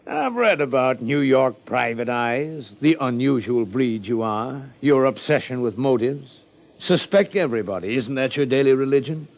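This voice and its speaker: A man's voice: mid-range voice, lively intonation, moderate pace, natural voice. An American man playing a glib, slyly mocking small-town plant manager in 1950s radio drama, with florid, theatrical phrasing.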